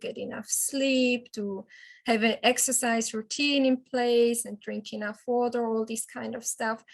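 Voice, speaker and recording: A woman with a Swiss German accent and a slightly high voice tells her story as a health and wellness coach. She is earnest and halting, often restarting her sentences. Swiss German is her first language. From Switzerland. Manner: earnest, with frequent restarts and hesitations. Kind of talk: personal testimonial.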